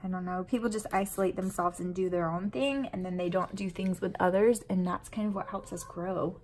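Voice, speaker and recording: A woman's voice: lowish voice, fairly even intonation, brisk, darkish tone, slight background noise. A sniffly woman with a runny nose, chatting in a rambling way while she decorates her planner at home. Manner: rambling and chatty, full of fillers. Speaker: planner vlogger and mom.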